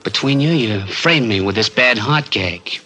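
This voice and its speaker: Forceful, bitter 1940s radio gangster. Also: male voice, medium pitch, animated, a little slow. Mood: bitter accusing. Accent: American. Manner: streetwise slangy talk, hard-boiled. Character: bitter small-time gangster gunman, formerly a coward. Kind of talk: 1940s radio crime drama acting. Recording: vintage 1940s radio recording, music behind.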